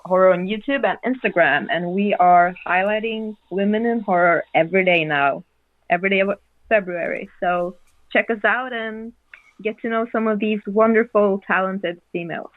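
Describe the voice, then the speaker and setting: Woman, guest co-host, casual podcast talk, medium pitch, phone-like audio. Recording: tinny phone-like call audio.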